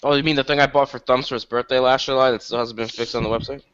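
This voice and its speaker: A man's voice: mid-range voice, racing, smooth, highs cut off. A man co-hosting a casual gaming podcast, joking around while eating his dinner. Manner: joking, lively banter. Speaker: podcast co-host. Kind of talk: casual chat.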